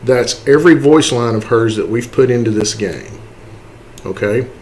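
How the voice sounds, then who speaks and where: Casual man explaining software, noisy recording. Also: medium pitch, normal speed. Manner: informal, explains step by step, often checks with "okay" and "right". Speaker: tutorial presenter. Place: indoors, voice-over for a screen recording.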